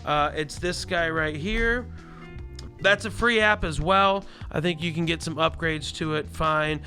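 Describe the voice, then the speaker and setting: A loud, chatty man giving a casual product tutorial, speaking into a lavalier mic clipped under his shirt. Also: on the high side, measured pace, soft background music. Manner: loud, chatty, self-deprecating asides. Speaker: gear reviewer.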